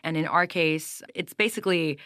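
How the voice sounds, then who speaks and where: A woman who runs a mezcal brand, answering interview questions in a measured, explanatory way with small restarts. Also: deep voice, steady tone, a bit bright, no room sound, clean studio sound.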